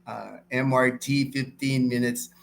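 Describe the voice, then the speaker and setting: A man's voice: medium pitch, fairly even intonation, measured pace, creaky, a little hiss or hum. A middle-aged man from the Philippines hosting a live stream, chatting casually and informally with viewers and often repeating himself. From Philippines, born and raised in Manila until twelve.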